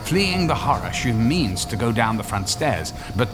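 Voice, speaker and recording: A man narrating a scripted horror radio drama with suspenseful, measured phrasing. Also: mid-range voice, big pitch swings, over music.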